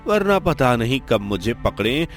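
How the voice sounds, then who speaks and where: A man's voice: mid-range voice, sing-song, a little slow, faint music behind. A man voicing wary, curious villagers who gossip earnestly in a conversational cartoon story dialogue. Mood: wary, concerned.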